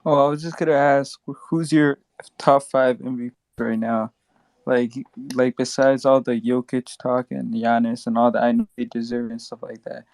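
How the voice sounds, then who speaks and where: A man's voice: mid-range voice, flat delivery, moderate pace, darkish tone, no room sound. A man in a casual discussion, asking a question in a hesitant, casual way. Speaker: call-in participant.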